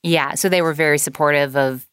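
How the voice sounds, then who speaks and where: A woman's voice: sits low, fairly even intonation, fairly quick, a bit bright, smooth, dry recording, studio quality. A woman interviewing a guest, asking questions with enthusiasm. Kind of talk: casual interview.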